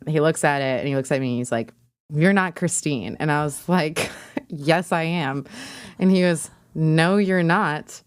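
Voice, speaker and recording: Chatty woman with a lower-pitched voice. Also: measured pace, clear, resonant tone, no room sound, clean studio sound. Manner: chatty, peppered with "like", laughing as she talks. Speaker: podcast guest. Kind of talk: casual personal storytelling.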